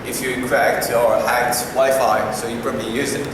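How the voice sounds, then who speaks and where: A man's voice: medium pitch, fairly even intonation. Man heard from far in a reverberant hall. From Belgium. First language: French. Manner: halting, many "uh"s and restarts. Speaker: conference speaker. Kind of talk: personal talk. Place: large conference hall. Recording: distant voice in a very echoey hall with noise.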